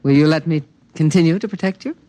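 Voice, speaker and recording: A man's voice: on the high side, fast, dark tone, dry recording, close to an everyday voice. A man voicing an alien creature that protects a human, speaking in a precise, matter-of-fact, earnest way, in a 1950s radio drama. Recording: dry studio, background music.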